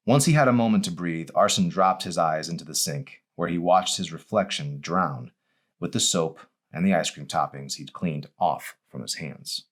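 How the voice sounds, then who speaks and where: A man reading his own novel aloud as expressive audiobook narration. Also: low-pitched, moderate pace.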